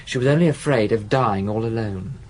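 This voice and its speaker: A man's voice: on the low side, fairly quick, darkish tone, close to an everyday voice, rough recording. An actor playing a sullen, evasive man in his late twenties, a defensive gigolo and addict in a radio drama. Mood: evasive, bitter.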